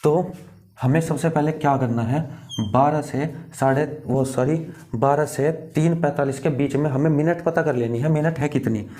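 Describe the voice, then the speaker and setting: Mellow-voiced man teaching. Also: fairly high pitch, moderate pace. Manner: instructive, repeats key phrases, often checks in with the listener. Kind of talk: step-by-step exam lesson.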